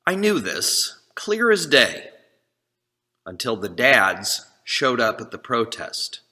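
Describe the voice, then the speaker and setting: A man introducing and reading a poem aloud to a congregation, measured and deliberate. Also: on the low side, pushed and bright. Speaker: congregation service leader. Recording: long reverberant hall echo.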